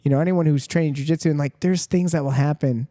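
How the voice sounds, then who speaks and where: Encouraging man with a mellow, dry voice. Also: fairly high pitch, fast, no echo. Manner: encouraging, laughs often. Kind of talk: casual podcast chat.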